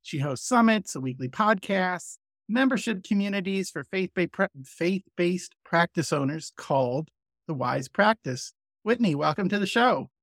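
Higher-voiced man hosting a podcast. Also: animated, normal speed, dry recording, clean studio sound. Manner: friendly, restarts often, chatty. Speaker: podcast host. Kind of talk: conversational podcast interview.

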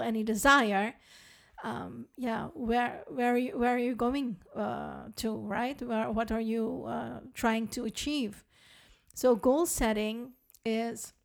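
A woman's voice: on the high side, vocal fry. A Dutch woman co-hosting a podcast in English, talking with many fillers and restarts. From Netherlands. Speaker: podcast co-host. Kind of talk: casual podcast talk.

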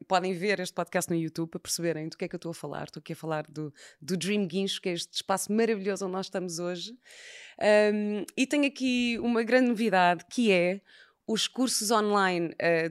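A woman's voice: on the low side, clean tone, dry recording, clean studio sound. A woman with a European Portuguese accent hosting a casual podcast interview, chatty and laughing easily, with many fillers. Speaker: podcast host. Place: indoors by a fireplace.

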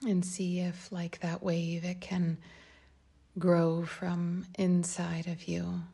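Slow, breathy, creaky woman guiding meditation. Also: lowish voice. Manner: calm, unhurried, gentle phrasing with long pauses. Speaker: meditation guide. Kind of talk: guided meditation, slow and soft instruction.

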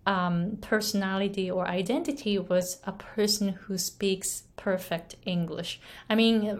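Japanese-accented woman, unhurried coaching vlog, earnest and chatty with "right?" tags. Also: mid-range voice. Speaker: English pronunciation coach. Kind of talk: casual motivational talk to camera.

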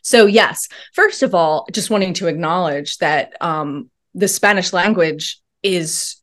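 A woman talking as an interview guest in a thoughtful, earnest way with many pauses and ums. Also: on the low side. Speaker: podcast guest. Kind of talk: reflective interview talk.

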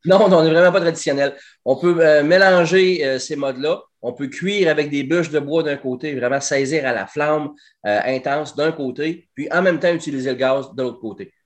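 A man in his forties with a Québécois French accent, a guest talking about his barbecue company in a casual interview, fluent and lively with plenty of hesitations. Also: a little high, normal speed. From Quebec. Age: 40s. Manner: animated, fluent, frequent "euh" and hesitations.

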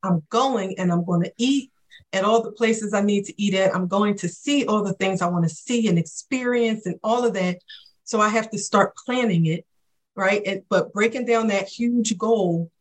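A woman's voice: medium pitch, moderate pace, poor audio. A woman in her forties, a business coach talking in a casual podcast chat, enthusiastic and often tagging "right?" onto her points.

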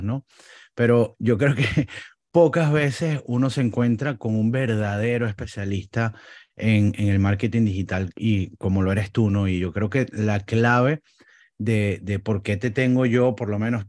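A man's voice: medium pitch, darkish tone, no room sound. Polite male podcast host, dry sound. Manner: polite, complimentary, hesitant fillers. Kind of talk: scripted intro then interview.

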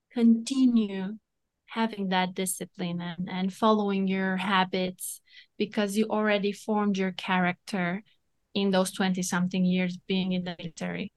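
A woman with a Romanian accent, a podcast guest, giving advice thoughtfully and laughing at herself now and then. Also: medium pitch. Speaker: guest, entrepreneur and coauthor. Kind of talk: casual podcast conversation.

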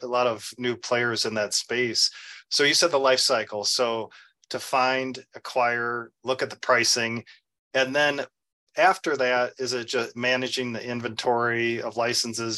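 A man's voice: medium pitch, sharp tone. A man hosting a podcast, interviewing a guest in a conversational style.

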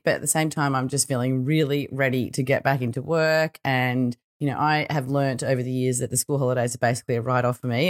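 Podcast host: Australian woman, middle-aged, low register with slight croak, informal reflective monologue. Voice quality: slightly croaky early-morning voice.

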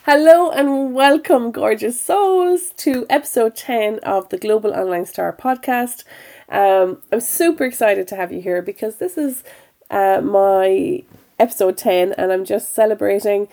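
A middle-aged woman hosting a motivational podcast, slightly croaky this week, talking with eager, encouraging warmth and plenty of fillers. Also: medium pitch, unhurried. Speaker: podcast host. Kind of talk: upbeat motivational podcast talk.

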